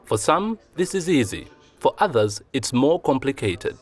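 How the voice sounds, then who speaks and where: A man's voice: medium pitch, sing-song, unhurried, soft background music. A man reading scripted narration for a documentary report.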